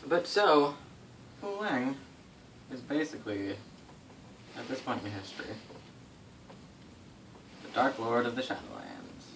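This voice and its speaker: A man recounts fantasy game lore as a game master, his pitch moving around in lively swings. He talks casually and rambles, correcting himself as he goes. He sounds a little way from the mic, with faint noise behind him. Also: takes it slowly, creaky, pauses often, recorded from a distance, rough recording. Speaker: game master recounting setting history to players. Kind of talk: informal storytelling of tabletop game lore.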